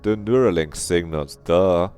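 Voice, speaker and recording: A man's voice: deep voice, a little slow, a little mellow, soft background music. A man voicing a blunt, irritable, sarcastic young woman in a visual novel, snappy and scornful. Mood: annoyed, sarcastic.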